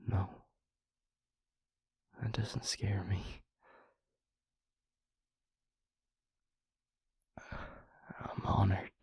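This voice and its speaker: A man playing a tender, earnest vampire lover in an intimate romantic audio roleplay, speaking haltingly and plainly in a breathy, gravelly near-whisper. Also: deep voice, little pitch movement, darkish tone, no echo, heavily acted. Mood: tender, vulnerable. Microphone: close microphone in a dry room.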